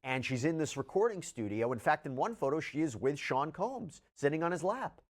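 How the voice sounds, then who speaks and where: A man's voice: fairly high pitch, sing-song, brisk. A man presenting legal news updates as a commentator, stressing key words and posing rhetorical questions. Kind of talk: news explainer narration, presenting case updates.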